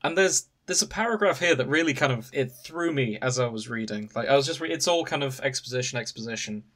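A man's voice: medium pitch, quick pace, fairly bright tone. A man podcast host casually recounting a story to friends in an amused, wry manner. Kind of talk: casual chat, recounting fan fiction.

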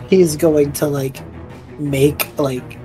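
A man's voice: on the high side, very slow, over music. A man playing a tabletop game, describing his character's moves in casual chat.

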